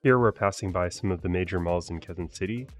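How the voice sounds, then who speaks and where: Low-voiced man narrating a travel vlog. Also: fast talker, faint music behind. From United States. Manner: relaxed, chatty, with frequent fillers. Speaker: travel vlogger.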